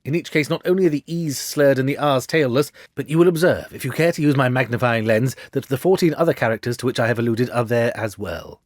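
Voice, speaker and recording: A man voicing a shrewd, self-assured gentleman detective for a storybook, with a precise, theatrical, lecturing manner. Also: mid-range voice, quick pace, few pauses, dry recording, studio quality, close to an everyday voice.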